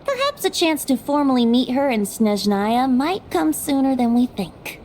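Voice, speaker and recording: A woman's voice: high-pitched, sing-song, normal speed, a little mellow, slight background noise. A woman playing a whimsical, worldly-wise young male bard in an English-dubbed fantasy game, with a light boyish tone and a playful yet reflective manner. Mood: reflective, measured. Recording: music under the dialogue. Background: game music.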